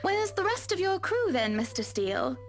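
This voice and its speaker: A man's voice: extremely high pitch, sing-song, normal speed, faint music behind, pitched above the performer's own voice, heavily acted. A man voicing a glamorous, gracious rich woman who owns a mansion in a visual novel. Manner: gracious, theatrical hostess.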